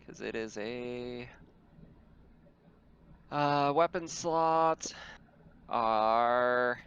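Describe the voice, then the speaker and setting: A man's voice: medium pitch, drawn-out words, a bit bright, smooth, muffled recording. A man chatting casually as game master of a tabletop roleplaying game.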